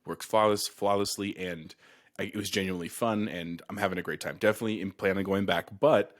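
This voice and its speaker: A man's voice: deep voice, steady tone, fast talker. A man co-hosting a casual gaming podcast, talking in an easygoing, conversational way. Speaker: podcast co-host.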